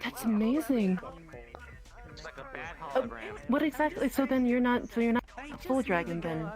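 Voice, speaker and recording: A woman talking in an improvised roleplay, halting and flustered as she searches for words. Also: fairly high pitch, over music. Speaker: player in an online roleplay. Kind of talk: improvised in-character conversation.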